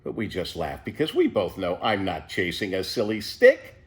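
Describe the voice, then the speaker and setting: A man reading a children's storybook as an unhurried, contented eighty-year-old pet tortoise who savours everything with wonder. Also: low-pitched, huge pitch swings, pitched below the performer's own voice. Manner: savouring, leisurely, full of wonder.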